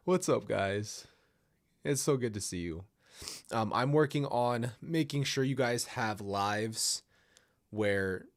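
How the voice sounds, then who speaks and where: A man hosting a casual livestream, a little nasal from a stuffy nose, rambling and apologetic as he talks to his viewers. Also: lowish voice. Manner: rambling, apologetic, restarts often. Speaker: livestream host reading viewer stories. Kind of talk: casual livestream chat and story reading.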